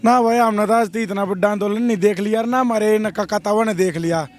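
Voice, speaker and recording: A young man with a Haryanvi accent, a protesting farmer, speaking hoarsely in a blunt, defiant, rambling way in a street interview. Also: sits high, fairly even intonation, brisk.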